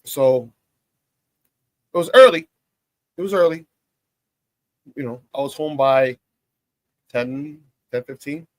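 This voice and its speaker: Hoarse guy hosting sports talk, raspy, joking around, dry close mic. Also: medium pitch, slow pace, pauses often, no echo. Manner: playful, riffing, hype-man banter. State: hoarse from a cold. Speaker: sports talk show host. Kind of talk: casual sports talk show opening.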